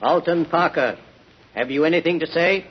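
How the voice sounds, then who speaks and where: An actor playing the stern, imperious commander of a vigilante cult, ceremonious and curt, in 1940s radio drama style. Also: male voice, fairly high pitch, normal speed, natural voice. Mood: ceremonial. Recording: muffled, noisy old radio recording.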